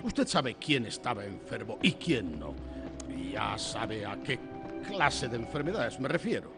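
Wily police lieutenant, lively swooping pitch. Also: male voice, unhurried. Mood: insinuating. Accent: Castilian Spanish. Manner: hesitant, rambling, insinuating questioning. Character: wily, chatty male police homicide lieutenant. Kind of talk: Spanish radio drama acting. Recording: music under the voice.

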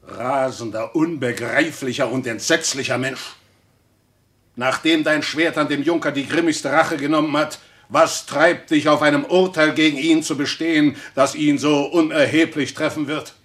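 Male actor as stern reformer, raised pitch, preacherly, admonishing, formal rhetoric, radio play. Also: steady tone, normal speed, a bit bright, lightly acted. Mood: indignant. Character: stern, reproving Protestant reformer and theologian. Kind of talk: German radio play acting.

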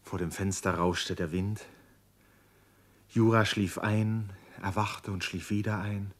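Deep-voiced male literary narrator. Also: fairly even intonation, slow pace. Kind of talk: scripted literary radio drama narration.

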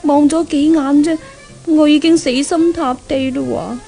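High-voiced, coquettish spoilt young heiress. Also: female voice, fairly even intonation, moderate pace, gravelly, warm tone. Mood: smitten, dreamy. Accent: Hong Kong Cantonese. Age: young adult. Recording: old radio recording, music behind.

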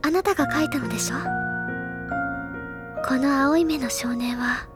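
Lady playing a kind earnest schoolgirl senpai, high soft coaxing delivery, audio drama w loud music under it. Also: normal speed, natural voice. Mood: gentle, coaxing. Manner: gentle, coaxing, careful. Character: gentle, earnest older schoolgirl coaxing out the truth. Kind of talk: Japanese audio drama acting.

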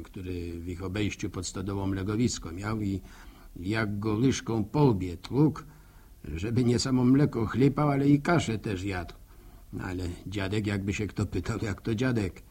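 Husky, folksy village storyteller. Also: male voice, medium pitch, mellow. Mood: musing. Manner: folksy, reflective, proverb-laden rambling. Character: folksy village man narrator, musing, neighbourly, plain-spoken. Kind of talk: radio drama monologue in rustic storytelling.

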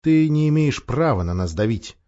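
A man's voice: medium pitch, expressive, moderate pace, mellow, muffled recording, lightly acted. Man reading as a curt, bossy older sister. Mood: stubborn, cross. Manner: curt, dismissive. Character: bossy, sensible, dismissive older sister, a girl. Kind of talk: audiobook dialogue.

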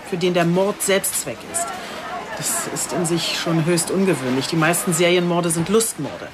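A woman's voice: fairly low pitch, lightly acted. A woman playing a police chief inspector in a radio drama, articulate, earnest and matter-of-fact. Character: determined, composed female police chief inspector. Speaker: police detective. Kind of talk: scripted radio drama dialogue.

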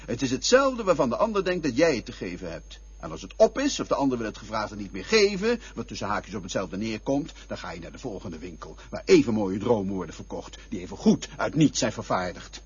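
A cynical, mocking voice picking love apart, as a man acts it out. It is very raspy and breathy, and the pitch moves up and down a lot. It sounds like a muffled, lo-fi radio play. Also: sing-song, brisk, hardly any pauses, heavily acted.

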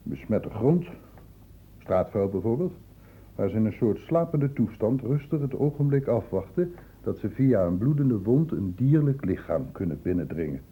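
An old, dull-sounding radio play recording of an insistent doctor. His husky voice moves up and down in a lively way as he patiently questions and explains, pressing with short pointed prompts. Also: fairly low pitch, normal speed. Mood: insistent, lecturing. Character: probing, patient male public-health doctor questioning a youth. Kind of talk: radio drama acting.

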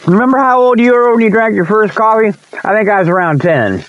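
Male talk host, slightly high voice, folksy and meandering monologue style, dull lo-fi recording. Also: animated, quick pace, fairly bright tone. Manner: folksy, repetitive, meandering, often chuckles to himself. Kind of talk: rambling folksy monologue, tongue-in-cheek sales pitches.

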